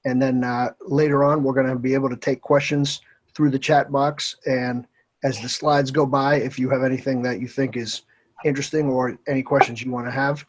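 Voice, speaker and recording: Man, 40s, panelist, relaxed, conversational, monotone. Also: medium pitch, moderate pace. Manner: relaxed, conversational, occasional uhs. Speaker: panelist and committee member. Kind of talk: webinar panel introduction.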